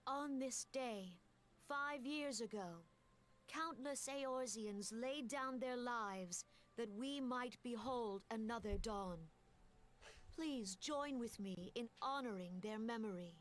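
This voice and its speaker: An actress voicing a solemn woman military commander who addresses her people in a grave, formal and measured way. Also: a little high. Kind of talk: video game cutscene voice acting.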